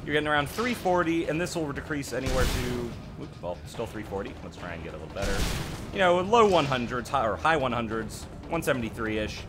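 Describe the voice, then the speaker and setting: A man's voice: mid-range voice, lively intonation, fairly quick, music in the background. A man giving casual, conversational commentary on a game update as a host, offering his own opinions with some self-deprecation. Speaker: gaming channel host.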